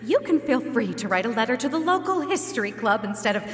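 A woman's voice: on the high side, big pitch swings, quick pace, fairly bright tone. An actress playing a prim, officious small-town woman hosting a local event in an audio drama, formal and indignant. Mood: prim indignation. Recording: echoey hall PA, music behind.

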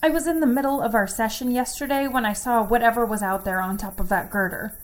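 Woman acting an earnest, high-voiced corporate worker. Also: quick pace, lightly acted. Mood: unsettled, hesitant. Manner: stilted self-help jargon, conscientious and self-correcting. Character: earnest, self-critical corporate worker alone on a remote outpost. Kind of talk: audio drama monologue as a recorded log entry.

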